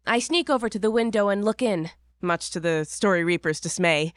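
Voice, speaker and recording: A woman's voice: medium pitch, fairly quick, no echo, clean studio sound, lightly acted. A woman playing a curious, impatient young apprentice, narrating in the first person from a script. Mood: eager, hushed wonder. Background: music.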